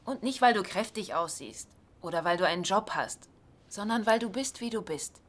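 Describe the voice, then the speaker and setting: Actress as supportive, wry sitcom wife, medium pitch. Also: female voice, expressive, normal speed, close to an everyday voice. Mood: reassuring. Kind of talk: dubbed sitcom acting.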